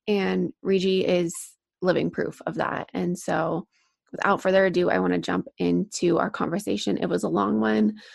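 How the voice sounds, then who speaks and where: A woman hosting a podcast, introducing her guest earnestly and softly, with gravelly, husky tones. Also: lowish voice, little pitch movement, normal speed. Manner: earnest, soft, with fillers. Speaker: podcast host.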